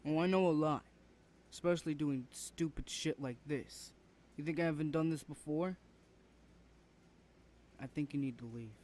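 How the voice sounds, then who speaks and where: A man's voice: on the high side, moderate pace, pauses often, darkish tone, slight background noise, lightly acted. A man playing a rude, protective older brother in an ASMR roleplay, confrontational and demanding, swearing freely. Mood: suspicious, angry.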